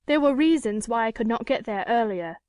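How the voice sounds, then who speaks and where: Woman performing a defiant lady, very high voice, formal, indignant, emphatic, audiobook drama, dry recording. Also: animated, brisk, a little mellow, dry recording, close to an everyday voice. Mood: desperate, impassioned. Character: proud, defiant woman with an unhappy secret past. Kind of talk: dramatic audiobook character reading.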